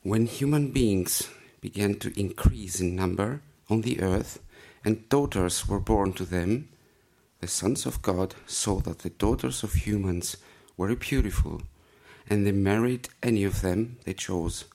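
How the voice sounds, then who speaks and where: A Greek-accented man reads scripture aloud for a congregation. He takes it very slowly and deliberately. His voice is gravelly and a bit husky, sitting fairly low. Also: mellow. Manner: measured, deliberate reading. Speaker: scripture reader. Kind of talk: slow church scripture reading.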